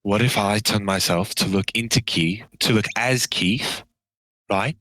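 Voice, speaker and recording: A man playing a tabletop game, eagerly pitching schemes to his party. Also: measured pace, a bit bright, no room sound, poor audio. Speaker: player. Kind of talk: casual tabletop play.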